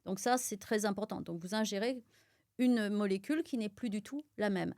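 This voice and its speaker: A woman's voice: medium pitch, moderate pace, no echo, studio quality. A middle-aged French woman from Auvergne, a pharmacist guest explaining nutrition in a podcast conversation with many fillers. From France (Auvergne). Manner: explanatory, lots of fillers, animated. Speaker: guest, pharmacist and micronutrition expert.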